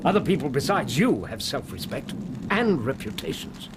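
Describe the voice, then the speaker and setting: A man acting an animated, loyal English doctor. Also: mid-range voice, normal speed, very noisy, close to an everyday voice. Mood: stubborn, indignant. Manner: earnest, emphatic, formal diction. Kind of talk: radio drama acting.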